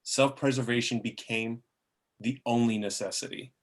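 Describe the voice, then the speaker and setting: A man's voice: mid-range voice, steady tone, normal speed, close to an everyday voice. Man playing a grandiose, bitter scheming demon. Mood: self-justifying. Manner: theatrical, grandiose villain monologue with stumbles. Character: bitter, scheming male demon, fallen muse, self-justifying. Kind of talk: amateur table reading of a film script.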